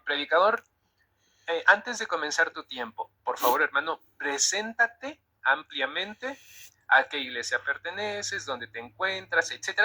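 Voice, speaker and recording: A man's voice: a little high, pushed and bright, breathy edge, rough recording. A man hosting and moderating a live debate, giving instructions to a speaker and the audience. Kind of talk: spoken moderation, addressing preacher and audience. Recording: live internet stream.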